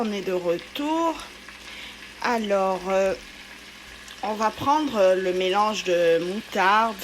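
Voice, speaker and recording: A woman's voice: mid-range voice, noisy background. A woman from Congo-Kinshasa hosting her cooking channel, chatting through a recipe step by step with many fillers. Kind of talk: casual cooking tutorial.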